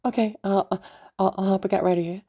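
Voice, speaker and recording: A woman's voice: mid-range voice, steady tone, fast talker, warm tone, natural voice. A woman voicing a sweet, bubbly, nervous young woman chatting in a roleplay audio drama, hesitant, giggly and stammering. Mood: cheerful, flustered. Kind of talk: roleplay audio drama, one side of a phone chat.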